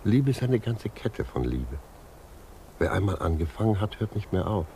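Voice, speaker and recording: A very low-voiced old man, wistful and fatherly, speaks with slow, earnest reflection. It is a 1950s radio drama with faint background noise. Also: big pitch swings, mellow. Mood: gently instructing. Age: older. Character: gentle, philosophical old widowed judge, wistful and fatherly. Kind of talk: 1950s German radio play acting.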